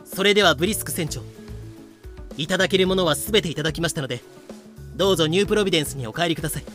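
A man's voice: on the high side, fairly bright tone, soft background music, close to an everyday voice. A man playing a young pirate captain, polite and businesslike yet coolly ruthless, in a fan-made voice drama. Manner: brisk, businesslike polite speech. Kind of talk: fan-made anime-style voice drama with narration.

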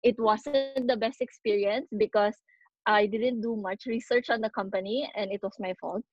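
A woman's voice: medium pitch, fairly even intonation, normal speed, darkish tone, no room sound, highs cut off, faint music behind. A Filipino woman in her thirties co-hosting a podcast, chatting casually and telling stories with frequent ums and laughs. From Philippines. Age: 30s. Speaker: podcast co-host. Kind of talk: casual chat mixing English and Tagalog.

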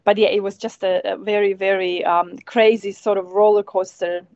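A woman in her thirties from Croatia with a Croatian accent, a winemaker chatting in an interview, eager and halting with many ums. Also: mid-range voice, steady tone, darkish tone, no echo. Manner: hesitant, many ums, enthusiastic. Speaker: winemaker guest. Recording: dull, muffled sound.

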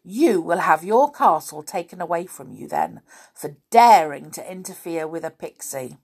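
Woman acting a bold, loudly scolding little male pixie. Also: on the low side, very animated, normal speed, vocal fry, breathy edge, exaggerated voice. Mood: indignant, threatening. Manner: indignant, emphatic, threatening. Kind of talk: children's storybook character voice.

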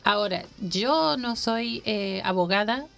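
A woman from Honduras, a university admissions coordinator, explaining the process in a measured way on a radio interview, with many fillers and restarts. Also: medium pitch, animated, gravelly, a bit bright. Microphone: radio studio microphone.

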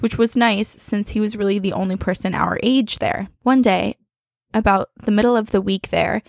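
A woman podcast co-host with a noticeably creaky voice, recorded close and dry. She speaks in an expressive, conversational way, as if reading listener mail to friends, at a middle pitch. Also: no room sound. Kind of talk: casual chat and reading listener emails aloud.

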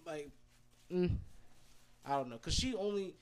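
A man's voice: high voice, expressive, measured pace, crowd noise. A man, talking through an opinion with many restarts in a casual podcast chat. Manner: hesitant, restarts while reasoning. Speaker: podcast co-host.

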